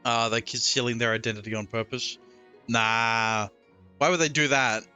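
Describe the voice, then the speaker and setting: A man with a clear, bright, mid-range voice commentates a game live. He is casual, reactive and swears freely, close to the mic with faint music behind. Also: medium pitch, steady tone, normal speed. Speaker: game streamer.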